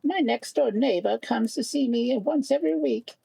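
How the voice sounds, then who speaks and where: A storyteller voicing a kindly, talkative old female field mouse in a thin, high, motherly voice. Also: moderate pace, vocal fry, warm tone, husky, dry recording. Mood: chatty, advising.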